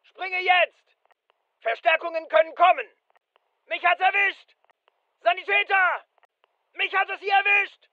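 A brisk fireteam soldier in a military video game. The voice is very high, with clipped, businesslike delivery. The recording is dry and slightly dull. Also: male voice, fairly even intonation, dry recording. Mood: urgent. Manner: clipped, matter-of-fact. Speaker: game voice actor.

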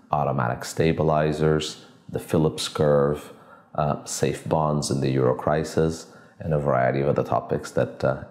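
A man in his forties from Portugal, an economics professor giving measured, academic interview answers in an office. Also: very deep voice, vocal fry, a little mellow, echoey room. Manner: measured, academic, thinks aloud with restarts. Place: office with glass walls, indoors.

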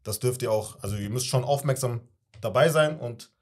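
A young man with a husky voice, bantering casually as host and player in a guessing game. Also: medium pitch, moderate pace, noisy background. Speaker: quiz show host and contestant. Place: small indoor podcast studio. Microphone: studio microphone on desk arm.

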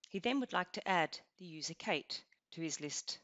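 A woman narrating a scripted software walkthrough, explaining each step in an even, instructional way. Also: on the low side, moderate pace, no echo. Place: voice-over over screen recording. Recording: dull lo-fi voice-over.

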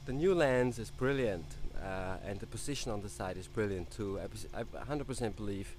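Man filming himself outdoors. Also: on the low side. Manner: halting, with frequent restarts and fillers. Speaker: drone racing hobbyist. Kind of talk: casual explanatory vlog. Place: outdoor airfield racetrack. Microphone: action camera held at arm's length. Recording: outdoor wind and vehicle noise.

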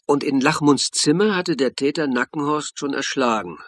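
An actor playing a senior police officer in a crime radio play, wry and familiar, with a casual, probing manner. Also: male voice, a little high, moderate pace, husky, dry recording. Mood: chatty, probing.

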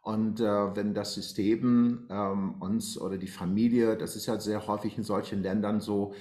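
A man's voice: fairly low pitch, level tone, normal speed, warm tone. A man in his forties from Iran, a guest telling his life story on a video call, talking hesitantly with many fillers. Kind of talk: personal life story in interview. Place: bright room at home, indoors. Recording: roomy echo.

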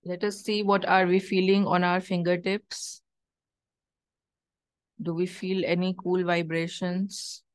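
A woman leading a guided meditation, giving gentle instructions and prayers in measured short phrases with long pauses. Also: lowish voice, level tone, dry recording, clean studio sound.

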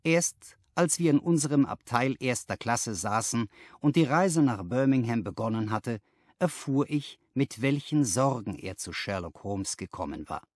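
Male narrator for literary audiobook, lively pitch movement, dry studio-like sound. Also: unhurried, no echo.

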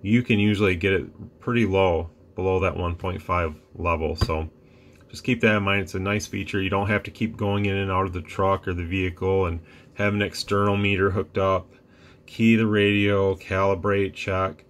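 A man casually explaining a radio on his workbench, relaxed and rambling with fillers and restarts. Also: low voice, normal speed, slight background noise. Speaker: radio reviewer. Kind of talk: casual product demo. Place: workbench room with radio gear.

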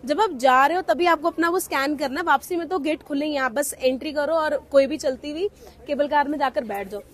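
A woman's voice: high-pitched, brisk. A woman in her thirties talking to a handheld camera outdoors, chatting casually as a travel vlogger and slipping English phrases into her talk. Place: outdoors at a mountain cable car station. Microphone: handheld camera at arm's length.

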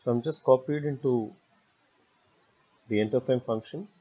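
A man narrating a step-by-step software tutorial in a halting way, repeating words as he goes. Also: mid-range voice, husky. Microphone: voice-over recorded for screen capture. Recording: dull, muffled lo-fi voice recording.

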